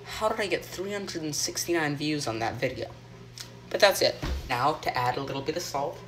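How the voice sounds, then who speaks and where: A teenage boy hosting a joking homemade cooking show in a home kitchen, rambling deadpan through mock instructions with plenty of ums, filmed on a phone by a friend. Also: fairly high pitch, moderate pace, faint music behind. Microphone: phone camera held by a friend. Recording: noisy home phone video.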